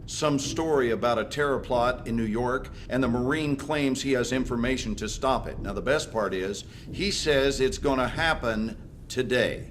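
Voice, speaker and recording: An actor playing a male government interrogator who speaks in a measured, dry and pressing way. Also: medium pitch, a little hiss or hum, close to an everyday voice. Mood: cool.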